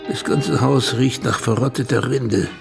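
A man playing the melancholy, wistful father of a decayed wealthy family in a radio drama, with a gravelly voice. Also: medium pitch, fairly even intonation, measured pace. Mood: mournful. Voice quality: gravelly, creaky. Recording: radio play with loud music bed.

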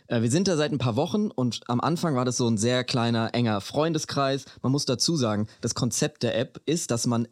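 A man's voice: mid-range voice, fairly quick, few pauses, no room sound, clean studio sound. A man co-hosting a podcast in casual, colloquial German peppered with English slang. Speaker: podcast co-host. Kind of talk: casual chat between co-hosts.

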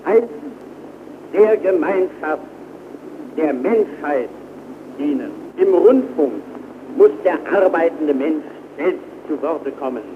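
A rousing political agitator played by a man, fairly high and swinging widely in pitch as he declaims. It sounds like a dull old gramophone record with some noise. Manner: emphatic, rousing, oratorical. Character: fervent workers' radio movement agitator. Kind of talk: declamatory promotional record speech.

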